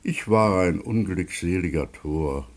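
An actor playing a dignified god of wealth in a classical radio comedy, declaiming solemnly. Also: male voice, deep voice, measured pace, a little mellow. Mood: solemn remorse.

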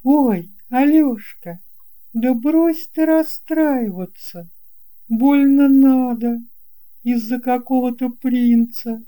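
A storybook narrator voicing a cheerful schoolgirl with a light, lively, reassuring manner. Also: sits high, slow, voice pushed up. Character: cheerful schoolgirl comforting her friend.

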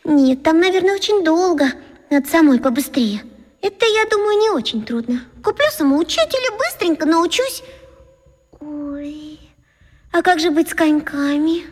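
A very high-pitched schoolgirl of about eleven in a children's radio drama. She is earnest and impulsive, fretting aloud to herself, and her voice hangs in a long reverb. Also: animated, normal speed. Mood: fretful, planning. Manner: fretting, self-talking, impulsive. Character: earnest, anxious fifth-grade schoolgirl thinking out loud. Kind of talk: children's radio play acting.

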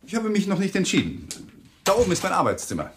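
An actor playing a young, uneasy lawyer with an evasive, placating manner in a German radio crime drama. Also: male voice, sits high, wildly swooping pitch, fast, background noise, exaggerated voice. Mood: guarded.

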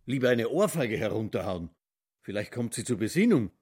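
A male radio play performer narrates and comments in dramatic fashion. His voice is fairly low and husky, with animated rises and falls. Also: fairly quick.

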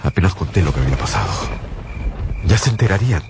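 Deep-voiced, anguished eyewitness, swinging pitch. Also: male voice, animated, gravelly. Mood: anguished, haunted. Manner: grave, halting, urgent, dramatic. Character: anguished male eyewitness narrator searching for missing friend. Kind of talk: radio drama narration and dialogue. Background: loud dramatic music.

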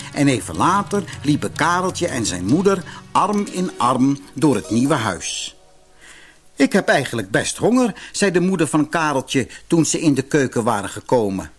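A man reading a scripted fairy tale for children as an expressive narrator. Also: medium pitch, husky. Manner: expressive storytelling. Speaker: storybook narrator. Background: music.

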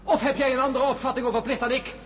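An actor playing Peter, a volatile male astronaut who flips between feverish excitement and bitter sneering in a radio drama. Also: very high voice, racing, slight background noise.

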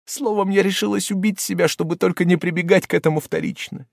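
Desperate sobbing young woman, played by a male reader: high-pitched, frantic, begging, storybook audiobook. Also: steady tone, fast, creaky, no echo, clean studio sound. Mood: anguished. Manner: shrill, tearful, pleading. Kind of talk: audiobook character voice.

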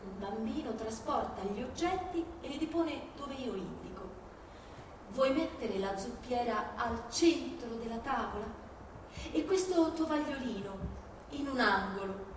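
A woman giving a dramatic stage reading of literary texts, with a measured, declamatory delivery and deliberate pauses. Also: a little high, expressive, background noise. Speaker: stage performer. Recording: very echoey hall from far off, music behind. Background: live music.

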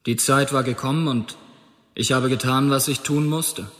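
A man's voice: medium pitch, steady tone, moderate pace, fairly bright tone, natural voice. Man acting a cold young killer, echoing. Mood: cold, detached. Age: young adult. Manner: detached, pedantic. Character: cold, bookish young male killer on death row. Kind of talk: radio drama acting. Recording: long echo of a prison corridor.

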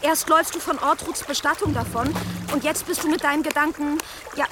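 A woman's voice: high voice, steady tone, a little fast, music in the background, natural voice. An actress in a radio drama playing an anxious village girl who questions with distressed insistence. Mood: worried, probing.

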